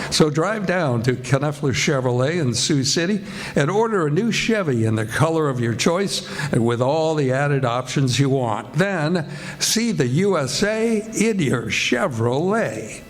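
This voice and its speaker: A man announcing a live radio theatre show in a formal, scripted 1940s style. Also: a little high. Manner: formal, presentational. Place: live stage performance before an audience. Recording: live show, loud music and audience.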